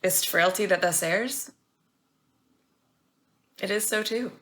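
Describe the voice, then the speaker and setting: A woman's voice: medium pitch, slow pace, fairly bright tone. A woman acting a worldly, defiant wife in a Shakespearean stage monologue, arguing in a pointed, questioning way.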